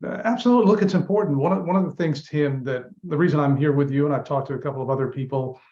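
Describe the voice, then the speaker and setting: A man's voice: fairly high pitch, racing, warm tone. Earnest man on dull remote audio. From Lebanon. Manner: earnest, emphatic, explaining at length. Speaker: campaign manager. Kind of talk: interview guest.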